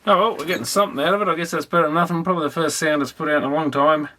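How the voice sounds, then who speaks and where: A man's voice: on the high side, fairly even intonation, rapid-fire, bright, forceful tone. A man thinking aloud in a casual, unscripted workbench commentary, muttering tentatively as he works. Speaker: amateur electronics repairer presenting. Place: repair workbench indoors.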